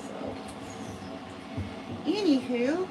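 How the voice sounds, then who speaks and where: An American woman who grooms cats, chatting slowly through a bath demonstration and often asking "right?". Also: sits high, lively intonation, far from the mic, background noise. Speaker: cat groomer. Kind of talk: casual livestream grooming demo.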